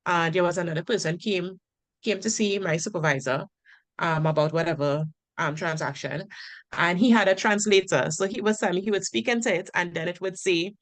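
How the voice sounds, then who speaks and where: Woman telling stories, low-pitched. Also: a little fast, no echo. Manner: anecdotal storyteller, tags sentences with "right?". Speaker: Spanish teacher and interview host. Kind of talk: casual conversational interview.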